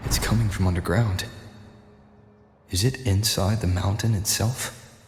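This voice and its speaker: A deep-toned, creaky male wanderer speaks calmly and deliberately in a video game. His voice rings in a reverberant space with music clearly behind it. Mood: focused, curious. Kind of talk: scripted game story dialogue. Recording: reverberant hall echo under music.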